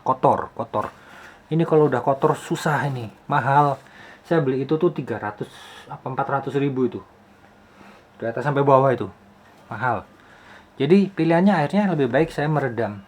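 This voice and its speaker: This is a man hosting a casual how-to video. He talks in an informal, explanatory way. He often poses rhetorical questions and repeats phrases to drive a point home. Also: medium pitch. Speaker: YouTube host, film soundman. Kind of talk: casual tutorial.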